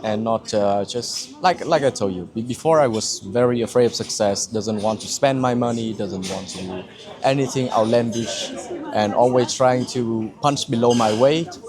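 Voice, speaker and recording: Halting young man. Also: mid-range voice, crowd noise. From Vietnam. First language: Vietnamese. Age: 20s. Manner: halting, with frequent restarts and searching for words. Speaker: client giving a testimonial. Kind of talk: candid personal testimony.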